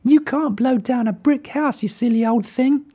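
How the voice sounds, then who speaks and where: A squeaky, cheeky little pig. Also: male voice, normal speed. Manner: cheeky, shouty. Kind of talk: storybook character voice.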